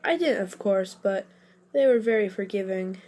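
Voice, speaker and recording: A woman's voice: mid-range voice, moderate pace, darkish tone, lightly acted. A woman playing an anxious, bookish young boy in a family audio drama, hesitant and meek. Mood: meek, apologetic. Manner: hesitant, meek, self-deprecating.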